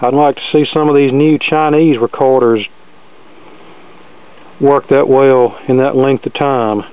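A man's voice: medium pitch, fairly even intonation, a little slow, clean tone, narrow, tinny audio. A plain-spoken, folksy man casually demonstrating a gadget as host, recorded on a camera's built-in microphone. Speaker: host demonstrating vintage electronics. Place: cluttered indoor workbench.

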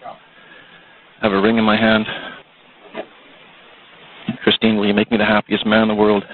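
A man's voice: lowish voice, completely flat delivery, unhurried. A man working as an air traffic controller, speaking over a radio link. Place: airport control tower. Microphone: radio transmitter. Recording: muffled, narrow-band air traffic radio.

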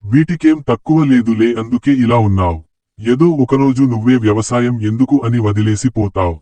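A man's voice: mid-range voice, moderate pace, dark tone, no echo, lightly acted. A man playing a scornful, mocking village man in a scripted moral story. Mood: arrogant, mocking. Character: scornful village man who mocks a farmer.

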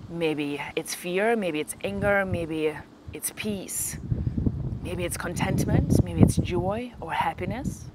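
A woman with a slightly low voice guides a meditation. She speaks gently and slowly, leaving long pauses between short phrases. The recording has clear background noise with small clicks.

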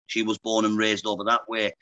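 A man's voice: on the low side, monotone, fairly quick, bright, forceful tone, no room sound. A man in his thirties with a Lancashire accent, a guest talking frankly and casually on a video call, often calling the host mate. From Lancashire, England. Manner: chatty, frank, keeps saying "mate". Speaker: guest, pro boxer. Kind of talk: casual interview chat. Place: indoor room, video call. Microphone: earbuds on a video call.

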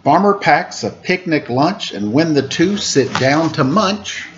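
A man in his forties reading a children's picture book aloud in a playful, expressive way, with chatty asides. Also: a little high, a little slow. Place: room at home, indoors. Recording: muffled webcam audio with clear background noise.

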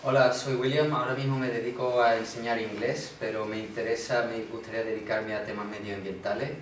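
A man's voice: fairly low pitch, flat delivery, a little fast, a little hiss or hum. A man who teaches English introducing himself at a meetup, rambling and correcting himself as he goes. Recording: very echoey room, distant mic.

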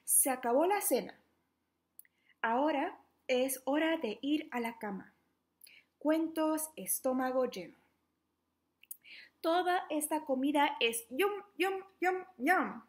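A young adult woman reading a children's book aloud interactively, in an animated, playful, questioning manner. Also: high-pitched, slow pace, pauses often. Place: small living room at home, indoors.

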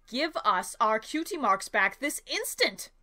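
Regal cartoon pony princess, acted by a woman: fairly high, forceful, earnest, concerned. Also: sing-song, unhurried, full character voice.

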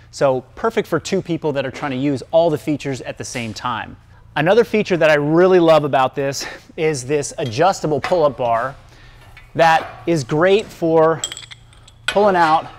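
Upbeat man leading a gym tour. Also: a little high. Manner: enthusiastic, explanatory. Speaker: fitness coach giving a gym tour. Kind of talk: upbeat presenter walkthrough. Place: large indoor gym. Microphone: lapel mic.

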